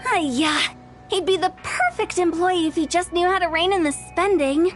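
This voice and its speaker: A woman's voice: very high voice, normal speed, music in the background. A woman voicing a playful, mischievous young woman who teases and speaks in a sing-song way, in a video game.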